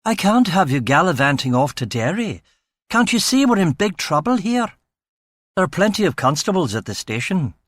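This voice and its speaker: A man's voice: sits high, sing-song, normal speed, a bit bright, dry recording, studio quality, voice pushed up, full character voice. A fretful, prissy young Edinburgh police boss, acted out by a man reading an audiobook. He speaks in a raised, hesitant voice, flustered and unsure of his authority. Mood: flustered, scolding. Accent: Edinburgh Scottish. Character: fretful young Scottish police chief inspector, soft and prissy.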